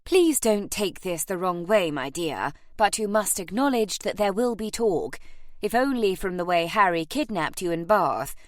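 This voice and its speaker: A woman's voice: mid-range voice, lively intonation, normal speed, no room sound, studio quality, natural voice. A woman voicing an imperious, airy older aristocratic English aunt in an audiobook, emphatic and grandly dismissive. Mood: airy, persuasive. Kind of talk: audiobook character acting.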